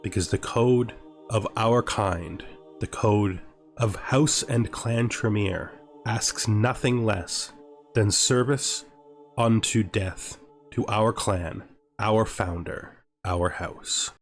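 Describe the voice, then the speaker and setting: A man playing a proud, zealous vampire commander in a measured, grandiose game roleplay monologue. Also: on the low side. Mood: defiant resolve. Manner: solemn, grandiose, measured oratory. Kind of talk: dramatic in-character roleplay monologue. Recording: music behind the voice.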